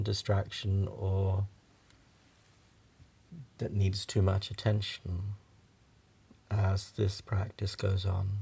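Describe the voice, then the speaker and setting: A man guides a relaxation meditation in a fairly deep voice with a pronounced creak. He speaks very slowly, in short halting phrases with long pauses. His manner is gentle and reassuring. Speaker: yoga nidra meditation guide.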